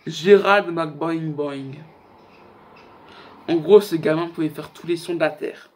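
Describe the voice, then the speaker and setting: A man's voice: fairly high pitch, takes it slowly. A young man hosting a casual YouTube countdown, talking in a slangy, joking, rambling way. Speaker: YouTube host. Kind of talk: casual chat to camera, countdown list.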